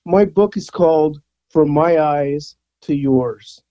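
A man's voice: a little high, takes it slowly, dry recording, lo-fi sound. A man in his sixties, a rock photographer from the Bay Area, chatting casually as an interview guest and rambling with fillers and restarts. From San Francisco Bay Area. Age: 60s.

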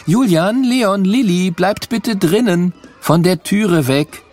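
A man's voice: sits high, takes it slowly, darkish tone, soft background music, voice pushed up. A man voicing a female kindergarten teacher urgently calling children back inside. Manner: urgent, raised calls to children.

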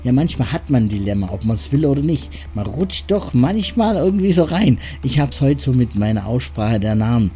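A man's voice: mid-range voice, very animated, normal speed, hardly any pauses, dark tone. A man hosting a radio show in a chatty, rambling way, with self-deprecating asides between music and sports news. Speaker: radio host. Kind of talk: casual radio moderation.

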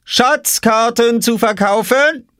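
A man's voice: on the high side, big pitch swings, normal speed, no room sound, studio quality, voice pushed up. A man voicing a cheeky, scheming little boy in a children's audiobook, eager, crowing and stubborn. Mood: calling out.

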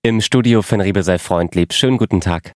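A man reading the radio news as studio anchor in a measured, formal way. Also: low-pitched, faint music behind. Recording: dry studio sound.

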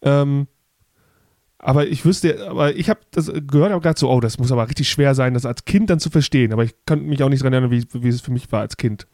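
A man's voice: mid-range voice, fast, warm tone, no room sound, clean studio sound. A man in his thirties hosting a podcast in casual chat, talking freely with many fillers and restarts. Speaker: podcast host.

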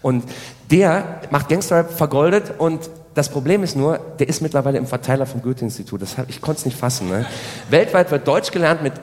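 A man's voice: fairly high pitch, animated, brisk. Rambling man doing stand-up in a reverberant hall. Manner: rambling, repeatedly seeking agreement with tag questions. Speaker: stand-up comedian. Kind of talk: spoken stage comedy monologue.

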